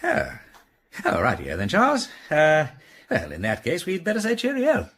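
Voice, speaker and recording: An actor in a radio drama playing a genial, witty gentleman detective with an upper-class English accent, breezy and given to musing aloud. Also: fairly high pitch, huge pitch swings, moderate pace, slightly rough, full character voice. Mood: breezy, eager. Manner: breezy, whimsical, musing aloud, old-fashioned society slang.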